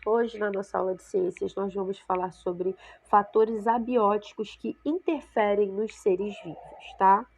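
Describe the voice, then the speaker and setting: A woman's voice: mid-range voice, fairly even intonation, a little slow, creaky, hardly any pauses, a little mellow. Congested woman teaching a science class. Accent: Brazilian Portuguese. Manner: explanatory, checks in with frequent "tá?" and "né?". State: congested with a cold. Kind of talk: remote class lesson for sixth graders.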